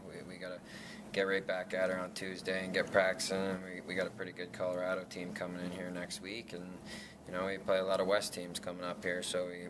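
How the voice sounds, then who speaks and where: A young man in his twenties, a lacrosse player, answering reporters after a game in a halting way with many restarts. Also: deep voice. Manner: halting, many restarts and fillers, laughs self-consciously. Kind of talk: post-game media scrum. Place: press backdrop room indoors. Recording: noisy room ambience.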